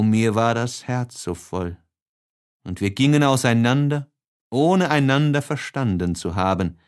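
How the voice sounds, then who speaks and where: A man narrating a classic novel as an audiobook, reading expressively with deliberate, dramatic phrasing. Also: mid-range voice.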